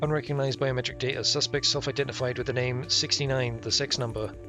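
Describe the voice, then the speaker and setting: A man's voice: medium pitch, steady tone, fast talker. Man reading game text aloud over music. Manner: irreverent, ad-libs jokes and mutters reactions. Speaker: streamer playing a visual novel. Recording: music playing behind the voice.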